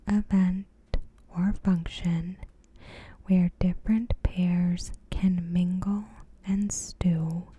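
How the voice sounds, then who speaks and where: A woman softly whispering in an ASMR style, reading out a recap with measured pauses and a breathy voice. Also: lowish voice, slight background noise. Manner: soft-spoken, slow, measured, pausing mid-sentence. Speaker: ASMR video creator.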